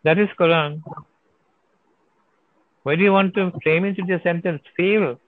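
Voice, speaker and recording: A man's voice: high voice, fairly even intonation, moderate pace, dry recording. Male speaker taking audience questions, emphatic and rhetorical. Tinny, phone-band sound. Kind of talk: question and answer session.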